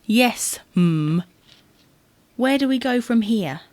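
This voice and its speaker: A woman's voice: a little high, animated, slow, a little mellow, dry recording. An actress playing a matter-of-fact, defensive wife in a comedy audio drama, needling her husband with dry, pointed retorts. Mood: tart.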